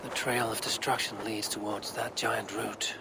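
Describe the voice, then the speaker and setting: A brooding, weathered Norse wanderer-god with a low voice and hushed, breathy delivery. He muses in solemn, old-fashioned phrasing like a self-assured video game hero, with loud ambience all around him. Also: flat delivery, moderate pace, heavy background noise. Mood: musing, hushed. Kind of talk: video game character monologue, musing aloud.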